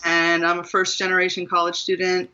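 Bright-voiced woman from Maryland. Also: fairly low pitch, completely flat delivery, a little fast, lo-fi sound. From Maryland, USA. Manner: thoughtful, earnest, with small laughs and restarts. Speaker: guest author. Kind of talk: reflective interview conversation.

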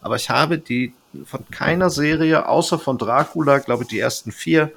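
A man's voice: fairly high pitch, moderate pace, creaky, fairly bright tone, slightly rough. A man hosting a podcast, moderating in a casual, chatty style. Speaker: podcast host.

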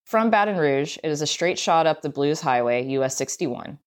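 Southern us lady reading a travel story, pretty low voice, scripted narration. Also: lively intonation, moderate pace. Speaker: writer, tour guide and historian reading own essay.

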